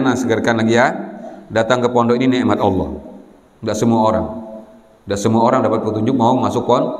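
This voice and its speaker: Male preacher in a reverberant hall. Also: mid-range voice. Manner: repetitive, admonishing, frequent "ya" check-ins to listeners. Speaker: Islamic boarding school teacher and preacher. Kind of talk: religious exhortation to students.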